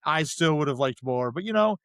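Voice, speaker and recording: A man's voice: fairly high pitch, animated, a little fast, fairly bright tone, clean tone, no echo, studio quality. A man co-hosting a podcast, discussing film casually and circling back to qualify his points as he goes. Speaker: podcast host.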